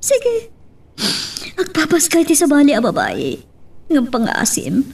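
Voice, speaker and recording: High-voiced anguished mother, slightly distant. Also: huge pitch swings, a little slow, vocal fry, a little mellow, full character voice. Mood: pleading, defiant. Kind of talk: melodramatic radio drama acting.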